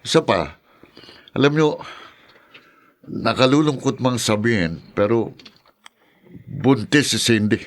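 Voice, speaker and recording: A domineering, resentful man in a radio drama. He speaks at a middling pitch in an emphatic, stubborn way. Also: animated, slow, long pauses. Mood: evasive, reluctant. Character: Gardo, bitter, domineering, resentful guardian man. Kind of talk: Tagalog radio drama acting.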